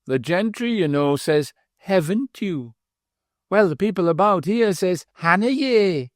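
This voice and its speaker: A man's voice: sits high, animated, normal speed, no room sound, studio quality, pitched above the performer's own voice. A man voicing a pompous former butler turned innkeeper in a treble, wheezy, slightly mincing voice, deferentially calling everyone sir. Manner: deferential, says sir constantly.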